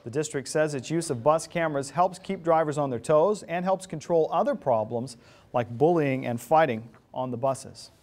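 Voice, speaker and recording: A man's voice: fairly high pitch, normal speed, darkish tone. A man reading scripted news as a television anchor. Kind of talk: scripted newscast delivery.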